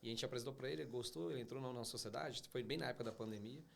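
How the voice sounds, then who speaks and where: Guest, male financial consultant, quick delivery, gravelly fry, explains with many restarts. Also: medium pitch. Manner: fast, explanatory, frequent restarts. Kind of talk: casual interview chat.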